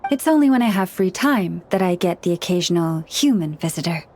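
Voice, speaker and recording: A woman voicing a gentle, earnest young woman who counsels animals in a video game, speaking in a measured, formal way. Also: on the low side, animated, a little hiss or hum. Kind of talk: video game character dialogue. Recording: studio voice over background music.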